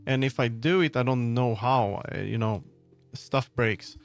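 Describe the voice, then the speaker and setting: A man chatting casually on a livestream as he works, often halting and muttering to himself. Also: medium pitch. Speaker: livestreamer making a game. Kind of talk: casual livestream chat while working. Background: background music.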